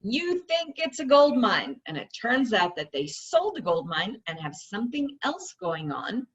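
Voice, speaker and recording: A woman's voice: fairly high pitch, sing-song, measured pace, breathy edge. A woman hosting a read-along, reading a book aloud with emphatic phrasing and stretched words. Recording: dull lo-fi audio.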